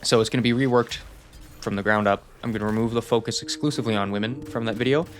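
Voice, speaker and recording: A man's voice: mid-range voice, fairly even intonation, quick pace, faint music behind. A young man giving a casual, rambling channel update, self-deprecating and reassuring. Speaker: channel host.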